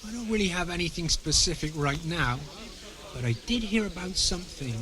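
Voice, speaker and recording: A man's voice: high-pitched, animated, fairly quick, crowd noise. An actor playing an impatient, gossipy medieval man in a video game, brusque and sardonic. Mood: gossiping. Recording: music behind the dialogue. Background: background music.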